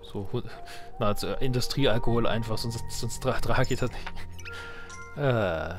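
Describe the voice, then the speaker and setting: A man's voice: medium pitch, a little slow, faint music behind. A man giving casual gaming commentary as a let's play host, wry and joking as he thinks aloud.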